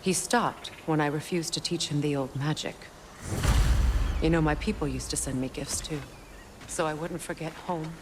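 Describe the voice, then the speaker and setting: An actress playing a proud, wounded former queen and sorceress in a cinematic video game, measured and solemn. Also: low-pitched, steady tone, noisy background, lightly acted. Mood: sorrowful, reflective. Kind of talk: dramatic cinematic game acting.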